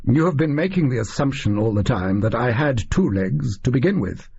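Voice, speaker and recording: Male reader as smug gentleman detective for a storybook: formal, precise, very husky, expressive, slightly dull recording. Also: mid-range voice. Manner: smug, formal, deliberate diction. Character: conceited, precise male Victorian detective, theatrically mysterious.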